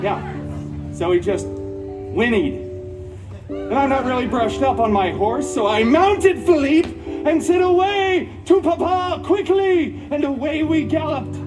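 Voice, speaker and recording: A man's voice: extremely high pitch, animated, a little slow. A man playing a campy, wisecracking antihero who tells a fairy tale melodramatically, with snarky asides, at a live theme park show. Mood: playful, wry. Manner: melodramatic, chatty, breaking into snarky asides to crowd. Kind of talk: theatrical live children's storytelling parody. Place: outdoor theme park stage. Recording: amplified live show over loud background music.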